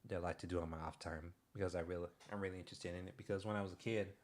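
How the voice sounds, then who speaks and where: A young man streaming, chatting casually in a soft-spoken, hesitant way with many pauses and restarts. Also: low-pitched, fairly even intonation. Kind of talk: casual livestream chat.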